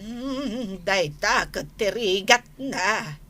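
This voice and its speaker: A woman's voice: mid-range voice, animated, drawn-out words, sharp tone, slight background noise, full character voice. A woman playing a sympathetic elderly mother in an Ilocano radio comedy drama. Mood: dismayed, sympathetic.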